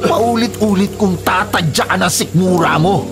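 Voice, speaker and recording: A man's voice: sits high, normal speed. An actor playing a ruthless, gloating Filipino gunman and illegal logger in a radio drama, with a menacing, taunting delivery. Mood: taunting, cruel. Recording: loud dramatic music under voice.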